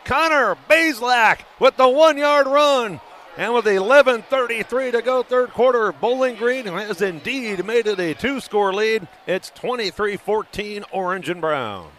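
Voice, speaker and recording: A man giving live radio play-by-play of a football game, excitable and quick to raise his voice for big plays. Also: high-pitched. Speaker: play-by-play announcer. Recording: noisy live stadium broadcast ambience.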